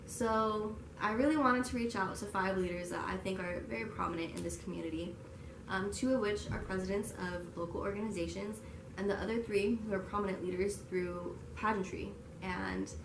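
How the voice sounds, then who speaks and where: A woman's voice: mid-range voice, steady tone, brisk. A woman hosting a video series, speaking earnestly as she introduces interviews and asks questions. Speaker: host and interviewer. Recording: echoey room with noise.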